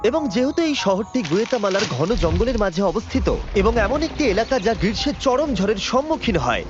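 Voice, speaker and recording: A man's voice: high voice, animated, fast talker. A man reading a scripted documentary voiceover as the narrator of a dubbed video. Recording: muffled audio under music. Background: music.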